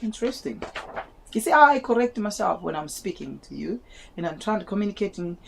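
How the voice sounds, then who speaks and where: A woman's voice: medium pitch, expressive, brisk, gravelly, slight background noise. A woman with a South African and Malawian English accent, not a native English speaker, reads a history book aloud to her viewers with wondering asides and often stumbles and repeats words. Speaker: vlogger reading aloud.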